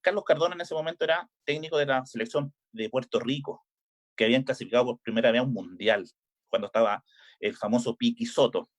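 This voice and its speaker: Middle-aged Chilean coach, speaking in a relaxed interview, halting and full of fillers. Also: male voice, medium pitch. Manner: hesitant, many filler "eh"s and restarts. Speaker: volleyball coach.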